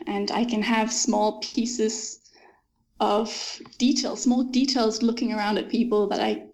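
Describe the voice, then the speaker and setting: A young woman, a native Danish speaker, talking as an author guest in an interview, thoughtful and often laughing, pausing with ums. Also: a little high, darkish tone, low-quality recording. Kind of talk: interview conversation.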